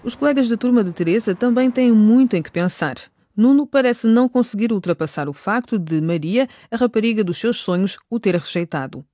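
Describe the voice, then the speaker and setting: A woman's voice: a little high, animated, fairly quick, hardly any pauses, dark tone, dry recording, clean studio sound. A woman narrating a scripted radio drama as its storyteller.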